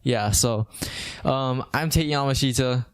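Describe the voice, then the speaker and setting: A young man in his late teens from Hawaii hosts a laid-back podcast. He talks in a flat, monotone way, rambling and restarting his sentences. His voice is smooth and clear. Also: medium pitch, measured pace. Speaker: podcast host. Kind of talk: casual podcast chat.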